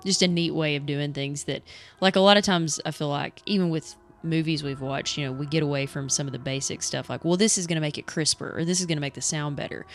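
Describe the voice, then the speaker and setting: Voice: medium pitch, steady tone, quick pace, few pauses. A podcast co-host talking in casual, conversational chat.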